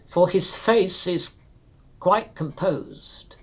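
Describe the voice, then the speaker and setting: A grave workman in a storybook ghost tale, played by a male reader. He speaks slowly and solemnly, with the deference of a labourer breaking bad news to a gentleman. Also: high voice, full character voice. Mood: grave, deferential. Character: grave, respectful workman bearing news of a death.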